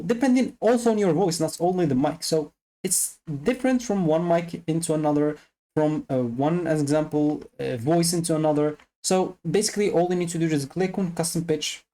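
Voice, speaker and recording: A tutorial presenter giving a casual, loosely improvised software walkthrough with repetitive explanations. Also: medium pitch.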